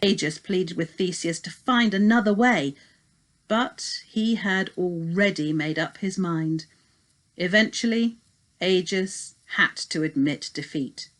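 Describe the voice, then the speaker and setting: A woman reading a children's story as scripted narration, dramatic and deliberate. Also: fairly low pitch.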